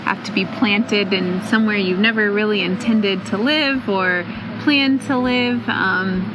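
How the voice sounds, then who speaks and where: A woman volunteer speaking thoughtfully and gently in an interview, with soft fillers between thoughts. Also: mid-range voice, measured pace, noisy background. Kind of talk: interview reflection.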